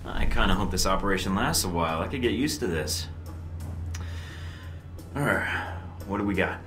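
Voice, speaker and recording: An actor playing a cocky young American undercover agent in a video game, with a dry, flippant manner. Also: male voice, expressive, moderate pace, sharp tone. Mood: wry. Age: young adult. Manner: dry, flippant, low-key. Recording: roomy sound under clear music.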